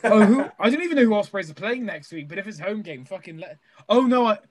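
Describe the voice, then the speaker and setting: Man, from Wales, young adult, high voice, loud, teasing, sweary, casual podcast banter. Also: expressive, fast, a little hiss or hum. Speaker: podcast co-host.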